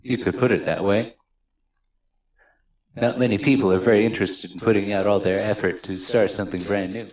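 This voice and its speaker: Man reading as confident schoolgirl club leader, lowish voice. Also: fairly even intonation, fairly quick, pauses often, a little mellow, dull audio, slight background noise, natural voice. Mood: earnest, confident. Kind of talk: hobby voice-over of visual novel game.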